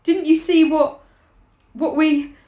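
A woman voicing a shaken, guilt-ridden boy leader in a halting, intense way, as in a storybook read aloud. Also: high voice, steady tone, warm tone, roomy sound, pitched above the performer's own voice. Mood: feverish, loathing.

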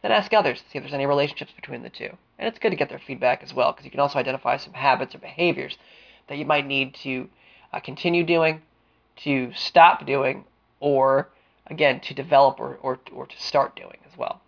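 A man hosting a solo career-advice podcast, smooth-voiced and deliberate, with a cold and a sniffle, explaining with frequent fillers. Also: mid-range voice, muffled recording. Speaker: podcast host.

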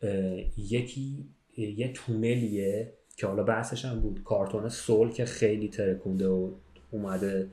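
A low-pitched man chats in a roomy, echoing space. He tells stories in a casual, conversational way, often checking that the listener follows. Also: fairly even intonation, dark tone. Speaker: podcast speaker telling a story. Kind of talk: casual chat, storytelling.

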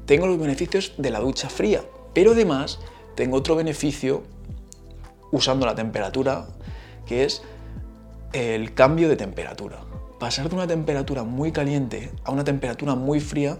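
A man's voice: mid-range voice, moderate pace, a little mellow, soft background music. A man with a Madrid Spanish accent, hosting a casual solo podcast, chatty and explaining things with asides. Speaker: podcast host.

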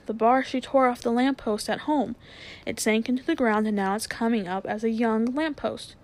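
A woman's voice: a little high, fairly even intonation, moderate pace, a little mellow, close to an everyday voice. A woman voicing an earnest, determined boy. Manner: eager and urgent. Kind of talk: storybook reading aloud.

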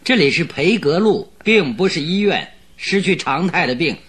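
A man's voice: fairly high pitch, animated, moderate pace, fairly bright tone, slightly rough. A man playing a brusque, wry great detective in a radio drama, speaking in a dry, curt, teasing way. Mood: dismissive.

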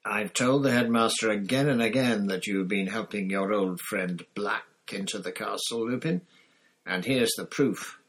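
A man's voice: lowish voice, normal speed, a bit bright, close to an everyday voice. A man voicing a sneering, vengeful male professor who speaks in a silky, spitting, menacing way, in an audiobook. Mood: gloating. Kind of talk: audiobook character voice.

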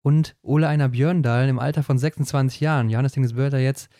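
A man's voice: medium pitch, fairly even intonation, fast talker, dark tone, no echo, clean studio sound. A man co-hosting a casual sports podcast from a German border region, speaking in a chatty way with frequent tag questions. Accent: German, western border region. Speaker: podcast co-host.

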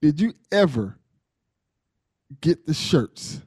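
Higher-voiced man hosting a casual podcast. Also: very animated, takes it slowly, darkish tone, husky, no room sound, clean studio sound. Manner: informal, buddy-like, slangy. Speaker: podcast host. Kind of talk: casual interview chat.

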